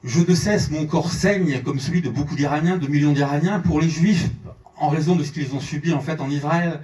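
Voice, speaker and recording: A man's voice: fairly high pitch, brisk, a little mellow, recorded from a distance. Impassioned male speaker in an echoey hall. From France and Iran, French-Iranian. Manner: fervent, repeats words when pressed. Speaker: journalist speaking at a conference. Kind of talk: impassioned conference speech. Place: large theatre hall.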